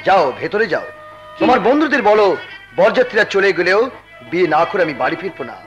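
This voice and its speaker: An actor playing a noble, resolute bridegroom and stern elder brother, earnest and firm in stage melodrama. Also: male voice, high voice, lively intonation, fast, fairly bright tone, highs cut off, soft background music. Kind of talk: melodramatic Bengali folk theatre acting.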